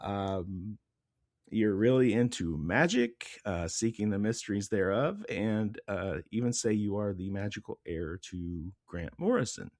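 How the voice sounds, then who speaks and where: Stuffy-nosed man hosting, low voice. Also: lively intonation, measured pace, darkish tone, no echo, clean studio sound. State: stuffy, congested, recovering voice. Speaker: podcast host. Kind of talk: casual interview chat.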